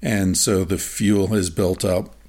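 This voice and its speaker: A man hosting an interview, explaining his ideas in a thoughtful way with frequent pauses and ums. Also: sits low, steady tone, a little mellow. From United States. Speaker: podcast host. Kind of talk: casual interview conversation.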